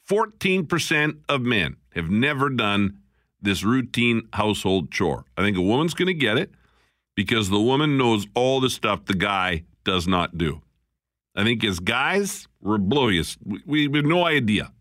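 A man hosting a call-in radio show, chatty and animated, with quick laughs. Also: lowish voice, no room sound, studio quality. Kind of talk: casual call-in radio chat.

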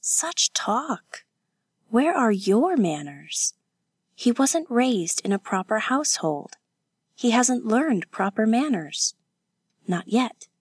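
A woman acts out an earnest, romantic young woman in a storybook novel. Her voice is lifted and soft, gently pleading, in a dry, close recording. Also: on the high side, big pitch swings, slow, breathy edge, no room sound, voice pushed up, exaggerated voice. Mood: earnest reproach.

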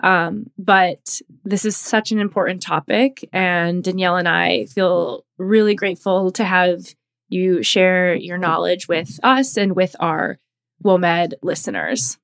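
A woman co-hosting a podcast who works in healthcare, speaking earnestly and haltingly as she searches for words. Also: lowish voice, steady tone, creaky, fairly bright tone. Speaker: podcast co-host, healthcare worker. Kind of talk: casual podcast chat.

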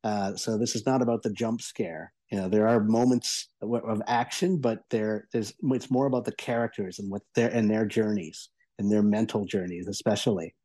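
Mellow-voiced man giving a halting review. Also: on the low side, steady tone. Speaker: guest reviewer. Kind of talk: conversational review discussion.

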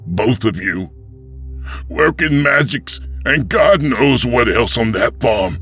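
A man's voice: medium pitch, slow pace, bright, forceful tone, slightly rough, faint music behind, voice pushed up, heavily acted. A man voicing a zealous, scornful small-town pastor with a preachy manner. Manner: preachy, contemptuous.

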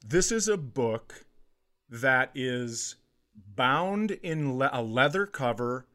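A middle-aged American man in casual comedic conversation, telling stories in an animated way and acting out what others say. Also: medium pitch, slow pace. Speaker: comedy podcast host.

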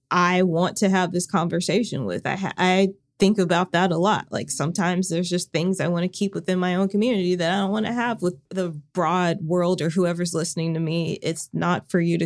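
A woman co-hosting a podcast with a low voice. She talks in an even monotone that can sound uninterested, though she isn't. The tone is casual and conversational. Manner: monotone, flat delivery. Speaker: podcast co-host. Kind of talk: casual chat.

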